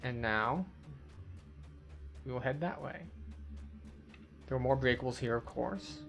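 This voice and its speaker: A man with a mid-pitched voice guides viewers through a video game. He is instructional and matter-of-fact, with music clearly playing behind him. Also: a little slow, a bit bright. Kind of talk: video game walkthrough commentary.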